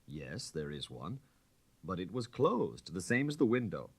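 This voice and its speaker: A man's voice: sits low, very animated, measured pace, husky, dry recording, heavily acted. A man voicing an impatient, defensive aristocratic count in an audiobook story, clipped and insistent. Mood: defensive, grudging.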